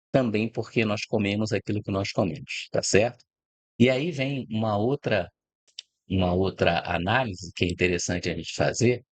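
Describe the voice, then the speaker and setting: Low-voiced Brazilian man explaining calmly. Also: dry recording. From Brazil, born in Rio de Janeiro. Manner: reflective, explanatory, frequent "né?" and "tá?" tags. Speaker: host of a channel about food and agribusiness. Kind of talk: informal spoken talk to camera.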